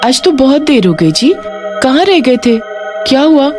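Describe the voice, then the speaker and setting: A woman's voice: high voice, very animated, a little slow, over music, pitched above the performer's own voice. A woman voicing a caring village mother who is emotive and earnest, in a cartoon ghost story. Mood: concerned.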